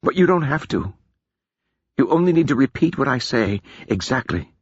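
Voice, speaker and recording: A man voicing a grave, earnest female wizard who speaks slowly and deliberately. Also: mid-range voice, very animated, slightly rough, no echo, voice pushed up. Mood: solemn. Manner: slow, solemn, deliberate. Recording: dull lo-fi recording.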